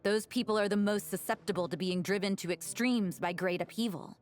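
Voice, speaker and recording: An actress playing a composed, wry woman in a fantasy game, recounting legends in a measured way. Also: medium pitch, lightly acted. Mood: measured, explaining. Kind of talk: scripted game dialogue. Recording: game music behind the voice.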